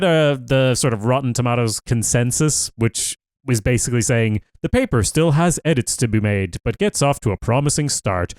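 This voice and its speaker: A man's voice: mid-range voice, a little mellow, dry recording. A man co-hosting a podcast, chatting casually about TV shows and thinking aloud with fillers. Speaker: podcast co-host. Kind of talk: casual TV review chat.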